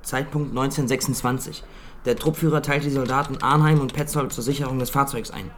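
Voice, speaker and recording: An actor playing a nervous young soldier who gives stiff, clipped reports in military jargon in a radio drama. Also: male voice, medium pitch, fairly even intonation, fairly quick, slight background noise, lightly acted. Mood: stiff, reporting. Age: young adult. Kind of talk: German radio drama acting.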